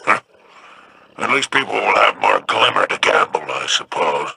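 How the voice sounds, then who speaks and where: A man's voice: lowish voice, big pitch swings, normal speed, creaky, bright, forceful tone, slightly rough, no room sound, full character voice. An actor playing a sly, scheming male alien trader who talks smugly. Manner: smug, wheedling.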